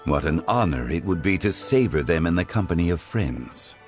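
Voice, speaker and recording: A formal, refined gentleman. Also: sits low, lively intonation, soft background music. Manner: formal, measured and eloquent. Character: refined, courteous gentleman fond of the finer things. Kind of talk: video game cutscene dialogue.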